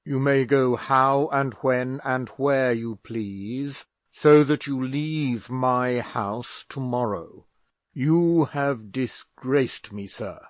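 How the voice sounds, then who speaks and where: A man voicing a stern, pompous elderly father who scolds slowly and loudly. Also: no echo, natural voice. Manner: booming, slow and indignant.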